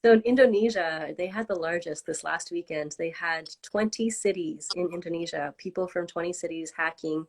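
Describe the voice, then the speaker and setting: A woman's voice: lowish voice, normal speed, few pauses, low-quality recording. A woman hosting a casual interview over a video call, enthusiastic and encouraging. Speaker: host.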